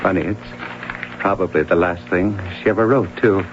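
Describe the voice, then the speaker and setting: A man's voice: low voice, steady tone, normal speed, music in the background. An actor playing an anxious, defensive middle-aged father who stammers and hesitates, in a 1970s radio drama. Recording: lo-fi old radio broadcast.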